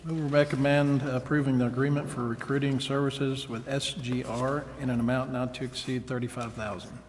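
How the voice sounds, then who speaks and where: A man speaks as a council member in a medium-pitched voice with very flat intonation. The room has long reverb, and there is faint noise. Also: moderate pace. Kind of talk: meeting remarks.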